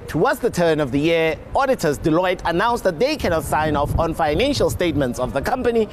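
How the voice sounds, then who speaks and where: A man's voice: a little high, sing-song, fairly quick, slight background noise. A young man presenting a scripted television news segment outdoors beside an office building.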